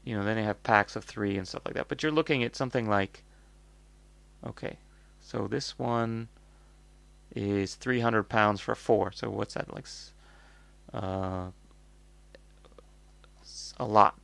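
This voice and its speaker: A low-voiced man with a North American accent and a good deal of vocal fry talks through a product review off the cuff. He rambles and thinks aloud, bluntly, in a very dry-sounding recording. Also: a little slow, pauses often, fairly bright tone, dry recording. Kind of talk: casual off-the-cuff review commentary.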